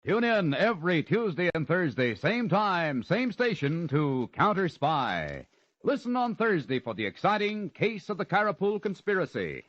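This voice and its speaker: Vintage radio announcer, man, animated and slightly high, lo-fi recording. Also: normal speed. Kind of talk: scripted 1940s radio announcing. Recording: dull lo-fi old radio recording.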